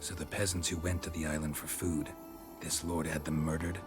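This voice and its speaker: An actor playing a stoic veteran monster hunter in a video game, talking in terse, dry, sardonic lines. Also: male voice, rumbling low, little pitch movement, a little fast. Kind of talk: video game cutscene acting. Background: game soundtrack music.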